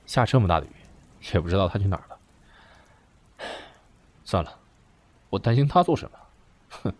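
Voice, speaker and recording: A man playing a gruff, short-tempered but secretly caring young man in a radio drama, blunt and colloquial. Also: low voice, animated, moderate pace. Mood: irritated, worried.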